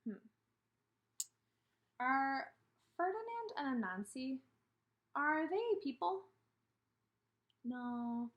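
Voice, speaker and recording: A woman teaching kindergarteners through a read-aloud, speaking in simple, deliberate phrases and posing questions to the children. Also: sits high, very animated, muffled recording. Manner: questioning, pausing for children to answer.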